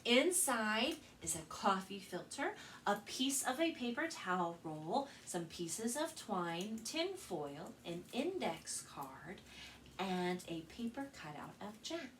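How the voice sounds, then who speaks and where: An American woman hosts a children's storytime with a bright voice and a very lively, expressive melody. She speaks like a practiced storyteller presenting to young listeners. She sounds a little way from the microphone, with faint noise behind her. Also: medium pitch, slow. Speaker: children's librarian and storytime host. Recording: distant mic with faint background noise.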